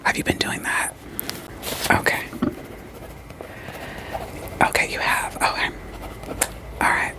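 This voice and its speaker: A woman playing a calm, reassuring therapist in an ASMR roleplay, whispering softly and breathily with gentle, deliberate questions. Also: close to an everyday voice. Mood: gentle, probing. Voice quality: soft, breathy, mostly unvoiced whisper. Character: calm, reassuring female therapist screening patients for werewolves.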